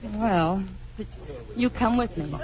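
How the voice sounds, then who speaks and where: A woman's voice: mid-range voice, slow pace, close to an everyday voice. An actress playing a poised, affectionate lady with a dryly teasing manner in a 1950s radio romance. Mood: tender, reluctant. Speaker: radio actor. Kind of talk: 1950s radio drama acting. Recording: muffled, noisy vintage radio broadcast.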